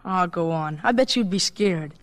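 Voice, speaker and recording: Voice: medium pitch, moderate pace, close to an everyday voice. A young American boy as an actor plays him, chatty and candid. He talks with artless gee-whiz eagerness and curiosity, in radio drama style. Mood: excited, wondering.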